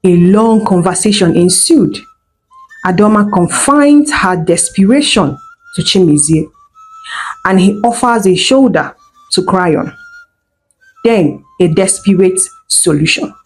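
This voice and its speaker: A woman's voice: mid-range voice, lively intonation, measured pace, faint music behind, low-quality recording. A woman telling a folktale as a storyteller, in scripted narration.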